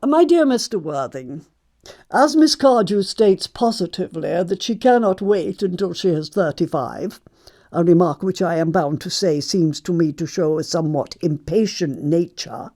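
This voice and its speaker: A woman's voice: lowish voice, lively intonation, normal speed, dark tone. A woman playing a snobbish, haughty older lady in a stage comedy audiobook, with an upper-class English accent and a pompous, commanding manner. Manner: pompous, commanding, emphatic enunciation.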